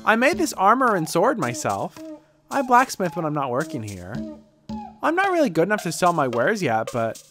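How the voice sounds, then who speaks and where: A woman's voice: fairly low pitch, wildly swooping pitch, normal speed, music in the background. A woman voicing an awkward but boastful young goblin shop worker in a fantasy audio comedy, with an emphatic delivery. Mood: eager, brash.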